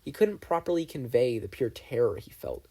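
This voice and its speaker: Give me a man narrating a story aloud, husky and low-toned. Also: animated, moderate pace, warm tone. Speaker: podfic reader. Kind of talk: reading fan fiction aloud.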